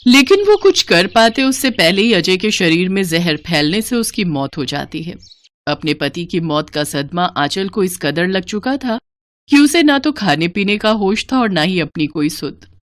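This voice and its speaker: A woman's voice: fairly low pitch, sing-song, unhurried, dry recording. A woman narrating a scripted family story in a dramatic storytelling style.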